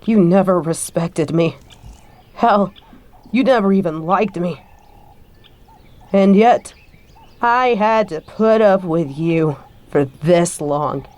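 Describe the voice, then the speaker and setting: A woman's voice: fairly low pitch, slow pace, long pauses, a little mellow. A woman playing a bitter adult daughter confronting her stepfather in an audio roleplay, scathing, profane and confrontational. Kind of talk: scripted audio roleplay monologue.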